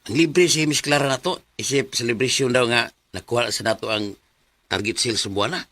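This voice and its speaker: A man's voice: medium pitch, a little fast, sharp tone, no echo, natural voice. A man with a Cebuano Filipino accent playing a chummy, teasing office friend in a radio drama. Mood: chatty. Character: chummy, nosy male office buddy, teasing and frank.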